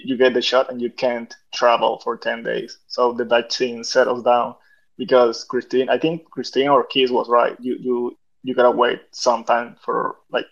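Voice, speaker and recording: Man on dull lo-fi audio. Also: medium pitch. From Venezuela. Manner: halting, repeating words, insistent. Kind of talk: casual group chat.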